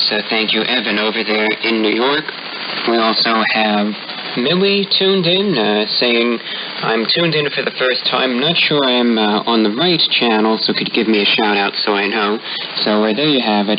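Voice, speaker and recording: A man hosting a radio music request show with courteous, formal announcements, heard over shortwave. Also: medium pitch. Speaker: radio host. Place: broadcast heard over shortwave, captured on SDR receiver. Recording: muffled, noisy shortwave radio reception with static.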